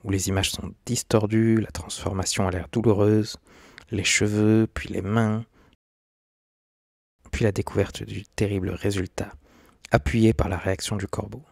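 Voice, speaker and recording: A man's voice: fairly low pitch, flat delivery, moderate pace, gravelly, dry recording, studio quality. A man giving scripted, documentary-style narration as a video essayist.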